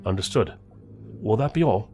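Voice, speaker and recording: A man's voice: on the low side, lively intonation, moderate pace, mellow, close to an everyday voice. Man acting a terse, obedient secret agent. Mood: guarded, dutiful. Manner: terse, formal. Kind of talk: audio drama acting. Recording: music under the voice.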